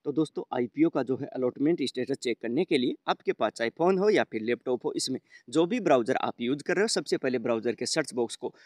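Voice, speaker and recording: A man's voice: medium pitch, animated, fast, few pauses, a little mellow, no room sound, clean studio sound. A man narrating a screen-recorded how-to tutorial, walking viewers through each step with many repeated fillers. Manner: step-by-step, repetitive fillers, addresses viewers as friends. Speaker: tech tutorial host.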